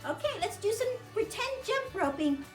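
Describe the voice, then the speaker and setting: A woman's voice: very high-pitched, big pitch swings, normal speed, soft background music. A woman reading aloud at a children's storytime as a librarian, in a sing-song manner with simple questions to young listeners.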